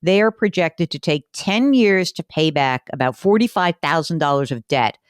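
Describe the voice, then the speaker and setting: Animated low-voiced woman money-show host. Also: moderate pace, dry recording, clean studio sound. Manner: chatty, emphatic, animated. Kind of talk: conversational radio podcast talk.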